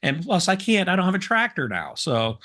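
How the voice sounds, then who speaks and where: A man co-hosting a casual talk show, hoarse from smoke, telling rambling self-deprecating stories. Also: high-pitched, sing-song, brisk, sharp tone. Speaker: co-host. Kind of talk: casual chat, storytelling.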